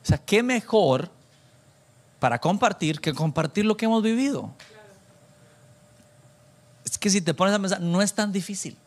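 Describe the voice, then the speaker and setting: Animated, conversational male preacher, fairly high voice. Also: moderate pace, long pauses. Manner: animated, self-deprecating, bantering with listeners; mixes in English phrases. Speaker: preacher addressing a church congregation. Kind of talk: informal conversational sermon with anecdotes.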